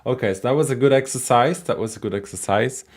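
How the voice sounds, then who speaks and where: A man's voice: medium pitch, quick pace. A man hosting a casual live call-in stream who talks hesitantly, with many fillers and easy laughter.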